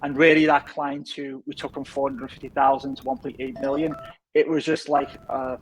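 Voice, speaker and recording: A man in his thirties from England with a softened Liverpool accent, chatting easily as a podcast guest with lots of fillers. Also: mid-range voice, flat delivery, moderate pace, poor audio. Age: 30s. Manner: easygoing, rambling with many fillers. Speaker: podcast guest, business founder. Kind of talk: casual interview chat.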